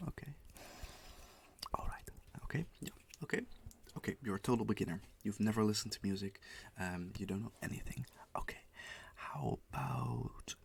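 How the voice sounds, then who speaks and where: A man whispering and speaking softly in a gentle ASMR roleplay as a music teacher, repeating words slowly and reassuring the listener. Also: mid-range voice, vocal fry, slight background noise.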